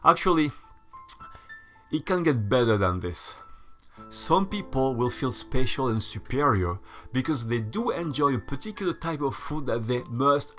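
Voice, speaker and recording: A man delivering a scripted voice-over essay in a measured, lecturing, mildly sardonic way. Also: mid-range voice, a bit bright. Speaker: channel host.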